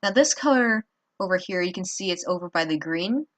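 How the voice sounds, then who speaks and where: Woman, tired, lowish voice, casual art tutorial, rambling with fillers, talking while drawing at home. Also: expressive, a little fast, fairly bright tone. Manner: rambling, self-deprecating, many fillers.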